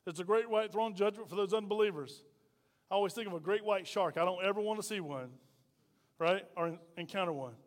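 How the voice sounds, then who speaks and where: A man's voice: high-pitched, fairly quick. A middle-aged man preaching a sermon as pastor, emphatic and folksy, with repeated rhetorical questions. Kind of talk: sermon to a congregation. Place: church sanctuary. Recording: reverberant hall sound.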